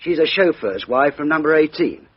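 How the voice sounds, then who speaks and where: A very husky dutiful British policeman. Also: on the high side, lively intonation, moderate pace. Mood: methodical, reporting. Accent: British English. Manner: clipped, report-giving, deferential. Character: dutiful junior British policeman, respectful and methodical. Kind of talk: radio drama acting. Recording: dull, band-limited radio drama recording.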